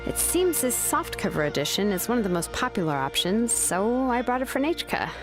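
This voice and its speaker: An actress playing an easygoing, modest, considerate young woman in a video game, speaking in a relaxed, reassuring way. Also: a little high, fairly quick. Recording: faint music behind the voice.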